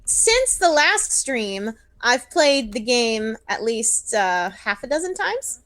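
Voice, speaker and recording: A woman's voice: a little high, very animated, takes it slowly, fairly bright tone. A woman co-hosting a livestreamed game read-along, chatting and reading out the narration. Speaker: co-host reading narration and player lines.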